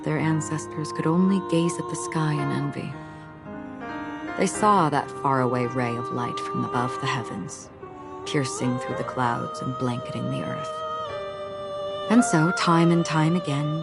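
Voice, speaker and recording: A woman playing a solemn, contemplative woman in a video game, speaking in a measured, grave, poetic way. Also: sits low. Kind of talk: dramatic video game monologue. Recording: loud music behind.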